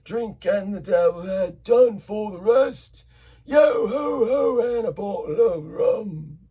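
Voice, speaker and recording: A man voicing a drunken, tyrannical old sea captain in a storybook, bellowing slowly and menacingly in song and command. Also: sits high, animated, warm tone, breathy edge, dull audio, voice pushed up, exaggerated voice. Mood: drunken, rowdy singing.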